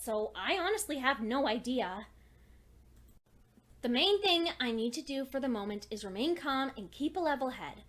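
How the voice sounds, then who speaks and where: A high-pitched young female pony scholar, acted out by a woman, narrates a cartoon fan story as a personal log. She is earnest and precise, with a fretful, anxious edge as she tries to keep her thoughts in order. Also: a bit bright. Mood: forced calm. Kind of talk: dramatic first-person fan fiction reading.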